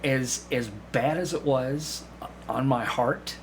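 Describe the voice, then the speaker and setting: A man telling personal anecdotes in casual conversation, haltingly, repeating phrases and acting out little asides. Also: medium pitch. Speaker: podcast guest, amateur actor. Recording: clearly audible background room noise.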